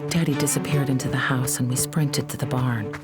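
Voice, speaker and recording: A very low-voiced woman narrator recounts a tense childhood memory in an audio drama. Her delivery stays flat and even, with loud music behind her. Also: brisk. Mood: tense recollection.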